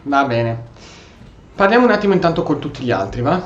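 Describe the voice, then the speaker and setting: A man's voice: fairly high pitch, animated, fast, lo-fi sound. A man with an Italian accent doing role-play commentary over a video game as a streamer. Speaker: gaming streamer. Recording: echoey room, game music faintly behind.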